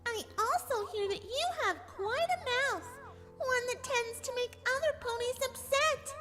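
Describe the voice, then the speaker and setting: Squeaky, sugary-sinister cartoon pony girl. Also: unhurried, bright, forceful tone, exaggerated voice. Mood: sweetly cruel. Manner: sugary, sing-song menace. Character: cheerful, sadistic pink female cartoon pony. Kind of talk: dramatic fan fiction audio drama. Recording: long reverb, music behind.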